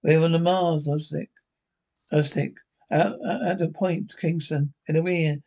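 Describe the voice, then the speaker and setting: A man playing a skeptical, commanding spaceship captain who sounds baffled and insistent, in a husky voice. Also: fairly high pitch, fairly even intonation, measured pace, warm tone, highs cut off. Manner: questioning, insistent.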